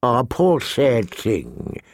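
A man's voice: mid-range voice, wildly swooping pitch, dry recording, full character voice. A man voicing a sly courtier with a sighing, pitying manner in a fantasy audiobook. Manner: sighing, drawn-out.

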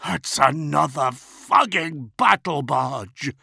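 A man's voice: a little high, lively intonation, takes it slowly, creaky, pushed and bright, husky, no echo, studio quality, voice pushed up, exaggerated voice. A man voicing a harried male warship officer in a science fiction audiobook, urgent and strained as he gives battle orders. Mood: alarmed.

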